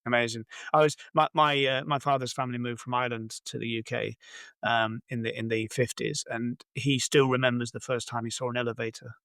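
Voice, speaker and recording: A man's voice: medium pitch, fairly even intonation, brisk, gravelly, fairly bright tone, dry recording. A man hosting an interview in a casual, discursive conversational style. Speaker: podcast interviewer.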